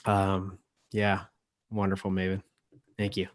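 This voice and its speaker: A man emceeing an informal online event, speaking haltingly with frequent pauses. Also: low-pitched, monotone, dry recording. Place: indoors, remote video call. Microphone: video call.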